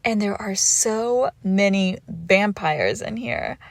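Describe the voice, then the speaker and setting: A woman's voice: medium pitch, lively intonation, slow pace, no room sound. Woman acting a teasing vampire girlfriend, crackly close voice. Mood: amused mocking.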